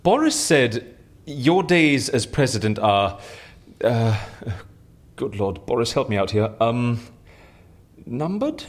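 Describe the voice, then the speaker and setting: An actor playing an eccentric, chatty British gentleman fixer in an audio drama, effusively polite and easily flustered. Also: mid-range voice, sing-song, slow pace, long pauses. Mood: nervous, awkward.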